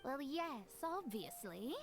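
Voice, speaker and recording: A woman's voice: sits high, big pitch swings, slow, music in the background, exaggerated voice. A woman voicing a proud young astrologist scholar in a video game, prim and self-assured with lofty formal diction.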